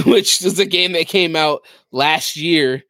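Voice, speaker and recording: A man's voice: a little high, slow pace, bright, forceful tone, dry recording. A man talking casually and emphatically about video games as a podcast co-host, with slangy phrasing.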